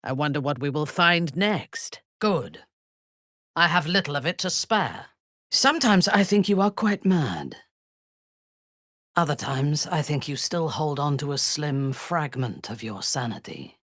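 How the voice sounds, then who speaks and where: A woman playing a commanding, ruthless zealot warrior in a video game, speaking with a low husky voice and a measured, imperious, menacing manner. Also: animated, fairly bright tone, dry recording, studio quality, exaggerated voice. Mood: wry. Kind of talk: video game character voice lines.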